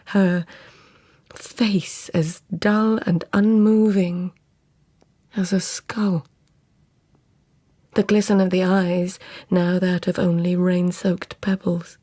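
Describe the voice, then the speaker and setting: An actress playing an intense, devoted lady of an old Japanese court in a radio drama, husky and hushed, speaking with deliberate care. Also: mid-range voice. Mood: grieving, haunted. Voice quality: husky, often breathy near-whisper. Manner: hushed, deliberate, haunted storytelling. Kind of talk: literary radio drama acting.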